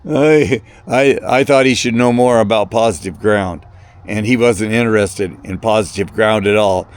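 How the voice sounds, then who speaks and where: Halting, rambling man with a lowish voice. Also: clean tone. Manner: hesitant, halting, repeats words. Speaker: hobbyist video presenter. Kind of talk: casual rambling hobby talk to camera.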